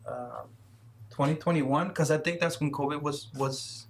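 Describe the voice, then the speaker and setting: A man in his thirties, a guest runner in a casual interview, talking haltingly with repeated words and shy laughs. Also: a little high, vocal fry, a little hiss or hum. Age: 30s.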